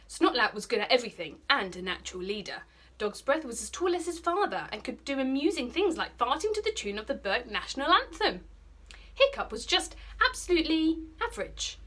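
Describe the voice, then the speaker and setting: A British woman with a slightly high voice reads a children's story aloud. She sounds like a primary teacher, expressive and keen to explain, with a slightly husky edge. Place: small bright indoor room by a window.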